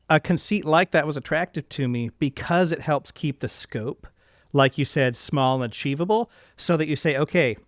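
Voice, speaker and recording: A man's voice: mid-range voice, a bit bright, no echo, clean studio sound. A man hosting a casual interview, reflecting at length and enthusiastically affirming his guest. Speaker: podcast host interviewing a guest.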